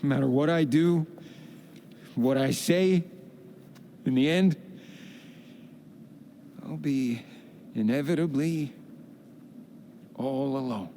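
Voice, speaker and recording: An actor playing a hapless, lonely, self-pitying adventurer in a video game, with a folksy, rueful way of talking. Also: male voice, medium pitch, slow pace, pauses often, warm tone, slight background noise. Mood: dejected, self-pitying. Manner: folksy, colourful exclamations, rueful. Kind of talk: video game cutscene acting.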